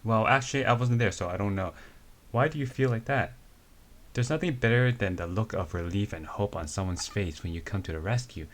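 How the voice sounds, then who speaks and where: A man voicing a big, easygoing male rescuer, telling his story in a halting amateur comic reading. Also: on the low side, close to an everyday voice.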